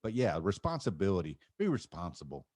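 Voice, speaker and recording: A man's voice: low voice, sing-song, brisk, darkish tone, dry recording. Wry man in his fifties, dry close sound. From Miami. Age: 50s. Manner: thoughtful, wry, self-mocking humor. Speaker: podcast guest. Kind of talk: casual interview chat.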